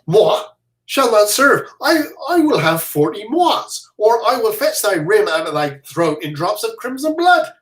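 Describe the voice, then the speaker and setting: A single reader voicing a blustering, boastful soldier who shouts bullying threats while reading a play aloud. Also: medium pitch, expressive, a little slow. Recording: roomy echo.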